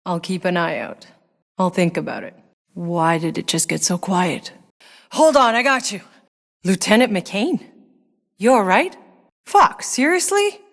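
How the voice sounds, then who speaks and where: Game lead: battle-worn woman soldier, lowish pitch, sardonic, blunt, world-weary, naturalistic delivery. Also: expressive, unhurried, vocal fry, long pauses, exaggerated voice. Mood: tense, irritated. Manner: dry, blunt, clipped delivery. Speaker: video game player character. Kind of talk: naturalistic game character voice lines.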